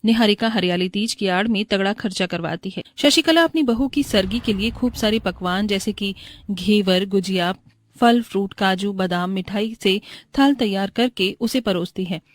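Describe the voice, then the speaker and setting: A woman's voice: mid-range voice, normal speed, no room sound. A woman narrating a moral bedtime story as a scripted voice-over.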